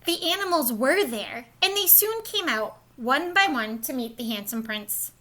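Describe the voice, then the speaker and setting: High, bright-voiced woman reading to children. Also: measured pace. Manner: expressive, explains to young listeners. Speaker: storybook reader, school staff. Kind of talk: children's read-aloud storytelling.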